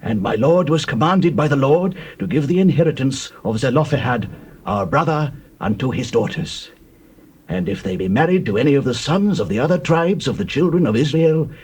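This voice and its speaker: An earnest clan chief in a scripture audio drama. His voice is rough-edged and medium in pitch, with sweeping rises and falls. He declaims slowly and formally. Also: darkish tone. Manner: declamatory, deliberate, swelling and falling phrases.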